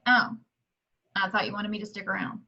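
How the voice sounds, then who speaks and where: Bright, pushy woman reading a lady reporter, lo-fi. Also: mid-range voice, flat delivery, a little fast, vocal fry. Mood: sardonic, pushy. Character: pushy, sassy female TV news reporter. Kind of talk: amateur table reading. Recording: dull lo-fi microphone sound.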